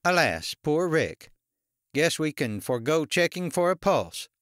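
A man voicing a savvy paramedic in his late fifties, brisk, matter-of-fact and wry, in an audiobook. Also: on the high side, sing-song, fairly bright tone, smooth, dry recording, clean studio sound, voice pushed up. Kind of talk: audiobook character dialogue.

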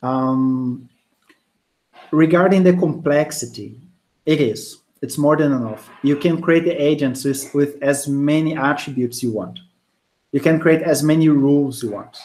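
A man in his thirties with a Brazilian Portuguese accent, English not his first language, giving a relaxed online university lecture from a small home office through a wired earphone mic, with many fillers and restarts. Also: a little high, measured pace, poor audio. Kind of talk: casual online lecture answering student questions. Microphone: wired earphone mic on a video call.

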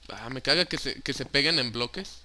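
Young Mexican guy, let's-player; mid pitch, bright and crisp, loose and jokey, some hiss on the track. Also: fairly quick. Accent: Mexican Spanish. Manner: chatty, joking, swears casually, mixes in English words. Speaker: gaming YouTuber. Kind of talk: casual Minecraft let's play commentary.

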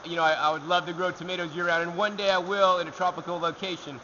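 Young man, gardening host, energetic, answering questions, outdoor rock alcove, faint hiss. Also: high voice, fast, muffled recording. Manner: energetic, talks with his hands. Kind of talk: answering viewer questions to camera.

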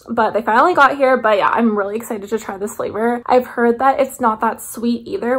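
A woman, chatting casually and enthusiastically to camera like a vlogger. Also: fairly high pitch. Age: 20s. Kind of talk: casual haul chat to camera. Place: small living room indoors.

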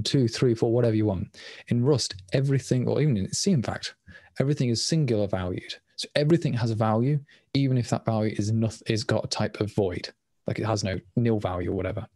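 A man, a programming language designer, answers questions on a video call in a quick, rambling way with restarts. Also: fairly low pitch, a little mellow, dry recording, clean studio sound. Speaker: language designer presenting at a meetup. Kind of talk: casual Q&A answers. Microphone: webcam on a video call.

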